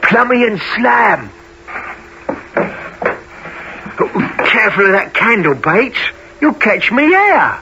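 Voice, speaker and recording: A man's voice: high-pitched, big pitch swings, measured pace, sharp tone, full character voice. A man playing the Artful Dodger, a cocky, friendly teenage London pickpocket who talks in swaggering slang, in a radio play. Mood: brisk, swaggering. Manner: slangy, swaggering, mock-genteel. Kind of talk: family radio play acting. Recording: dull lo-fi with faint noise.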